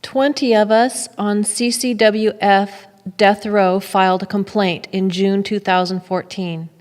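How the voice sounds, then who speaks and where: A middle-aged woman giving a solemn, deliberate dramatic reading of testimony at a lectern. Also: mid-range voice, fairly even intonation, a little mellow. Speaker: readers theater performer. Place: temple sanctuary at a lectern. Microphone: boom mic on a stand at lectern. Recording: echoey hall with long reverb.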